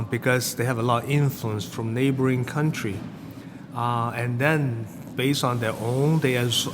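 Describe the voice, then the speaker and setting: Chef, middle-aged man, Hong Kong accent, informal hesitant delivery, recorded in a reverberant, noisy room. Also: mid-range voice. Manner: rambling, hesitant, non-native phrasing. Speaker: chef and cooking teacher. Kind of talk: casual interview answers and explanation.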